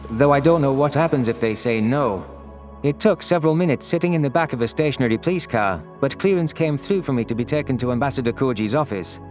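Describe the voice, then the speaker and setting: A man reading scripted first-person science fiction narration as a story narrator. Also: mid-range voice. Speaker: narrator of audio stories.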